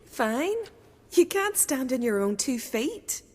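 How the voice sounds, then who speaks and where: A woman's voice: high voice, big pitch swings, slow pace, darkish tone, long reverb tail, a little hiss or hum, full character voice. A woman playing a chatty, bossy young village girl in a video game, with a folksy, teasing way of talking. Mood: brisk, insistent. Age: young adult. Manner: folksy, dialect-heavy, teasing.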